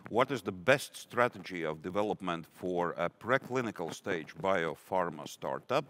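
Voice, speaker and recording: Deep-voiced hesitant male moderator. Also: lively intonation, fairly bright tone. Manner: hesitant, halting, full of fillers. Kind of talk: moderating a conference Q&A.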